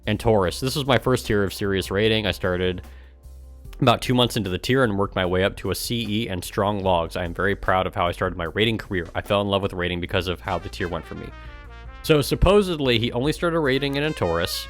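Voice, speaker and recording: Low-voiced sarcastic man on a stream. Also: quick pace, faint music behind. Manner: sarcastic, exasperated, casual with swearing. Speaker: streamer and gaming guild member. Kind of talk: live stream reading aloud with sarcastic commentary.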